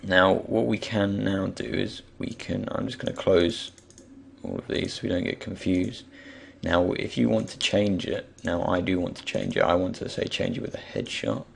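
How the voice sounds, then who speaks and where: A young man in his tutorial voice, croaky and rough because he has just got up. He sits very low and rasps, pausing often to think aloud. Mouse clicks and faint hiss sit under his voice. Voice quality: croaky, gravelly. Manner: relaxed, thinking aloud with many pauses. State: just woken up. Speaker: tutorial creator. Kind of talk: screen-recorded software tutorial.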